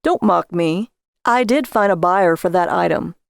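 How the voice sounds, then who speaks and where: Woman doing a childish husband, defensive, earnest, eager collector, creaky, dry storytelling recording. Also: lowish voice, unhurried, no echo.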